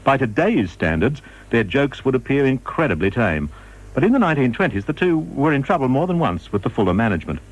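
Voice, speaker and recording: A man's voice: medium pitch, big pitch swings, fairly quick, highs cut off. A man reading scripted historical narration. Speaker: documentary narrator.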